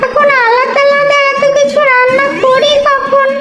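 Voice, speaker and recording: A woman's voice: extremely high pitch, flat delivery, a little slow, roomy sound, loud music behind the voice. A woman voicing a practical, grumbling housewife and mother in a cartoon, fussing in a matter-of-fact way. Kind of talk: cartoon dialogue.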